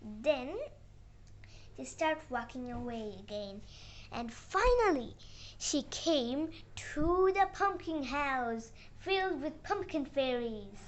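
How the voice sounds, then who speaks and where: Slow-paced, high-pitched child storyteller. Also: lively intonation, fairly bright tone. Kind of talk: narrating a moral fairy tale.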